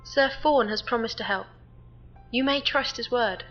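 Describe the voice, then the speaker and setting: Formal, high-voiced woman shipmate. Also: dull audio, soft background music. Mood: cool, measured. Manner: measured, stiffly formal, uncontracted speech. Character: formal, cool, logical female shipmate. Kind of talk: science fiction audio drama acting.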